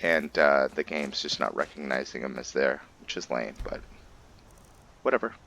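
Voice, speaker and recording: A man's voice: rumbling low, normal speed, gravelly, fairly bright tone, slight background noise. A man hosting a video game roleplay, giving casual, informal commentary and explaining things as he plays.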